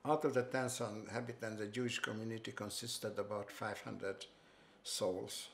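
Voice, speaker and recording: An older man with a Hungarian accent, recounting his life story in a halting way, restarting and repeating phrases, in a living room. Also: lowish voice, steady tone, vocal fry, fairly bright tone, slight background noise. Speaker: interviewee. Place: bright living room, seated on sofa.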